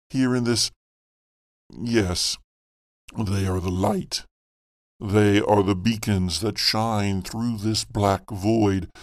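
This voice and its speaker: A man playing a brooding, self-absorbed occult villain in a theatrical, deliberate, melodramatic monologue. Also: on the low side, no echo, clean studio sound. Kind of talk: dramatic scripted monologue.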